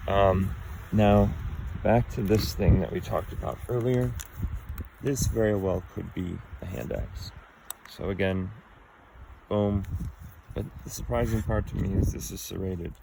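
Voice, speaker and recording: A low, gravelly man talking through a cold, his voice fraying as he rambles through an explanation. He hesitates constantly, filling gaps with ums and uhs. A steady hiss of noise sits under the recording. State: losing his voice, a little sick. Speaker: artifact collector explaining finds. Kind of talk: casual show-and-tell explanation.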